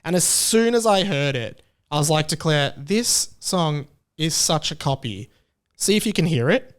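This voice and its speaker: A young man with an Australian accent hosts his own podcast and talks through it casually, with jokey, playfully opinionated asides. His voice sits a little high for a man and sounds smooth and resonant. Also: expressive, unhurried. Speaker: podcast host.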